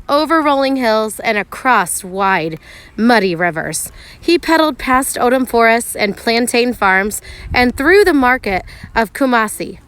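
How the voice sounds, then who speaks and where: A woman narrating a children's storybook aloud, expressively, with measured phrasing and dramatic pauses for emphasis. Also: a little high, a bit bright, slight background noise, rough recording. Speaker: teacher reading a picture book aloud.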